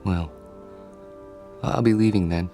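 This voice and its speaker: An actor playing a timid, awkward, polite clerk in a radio drama, hesitant, stammering and apologetic. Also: male voice, low voice, steady tone, slow pace, mellow, soft background music. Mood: nervous, apologetic.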